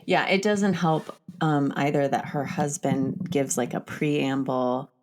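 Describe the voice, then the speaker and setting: A woman's voice: sits low, fairly even intonation, slow pace, darkish tone. A woman hosting a casual film discussion, talking in an informal and candid way. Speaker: podcast host.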